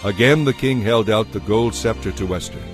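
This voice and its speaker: A man narrating a dramatized audio Bible in a measured, formal storytelling manner. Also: lowish voice, music in the background.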